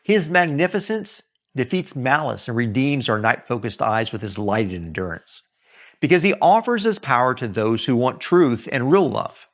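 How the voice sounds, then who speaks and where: A man reads his own writing aloud like an audiobook author. His pitch moves widely from phrase to phrase, and his delivery is earnest and emphatic, with something of the preacher in it. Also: sing-song, normal speed. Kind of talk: expressive audiobook-style reading.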